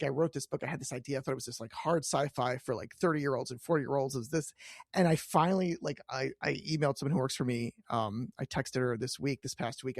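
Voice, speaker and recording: A man's voice: mid-range voice, vocal fry, dry recording, studio quality. A man hosting a podcast, chatting casually and informally and often restarting his thoughts mid-sentence. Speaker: podcast host, interviewer. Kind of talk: casual chat.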